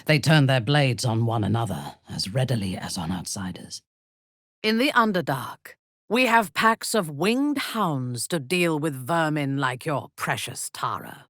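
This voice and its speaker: An actress voicing a haughty, ruthless female warrior zealot in a video game, speaking in a formal, imperious, deliberate way with a husky voice. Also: a bass voice, very animated, fairly bright tone, no echo, clean studio sound, heavily acted. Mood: grim, brooding. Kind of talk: video game character voice lines.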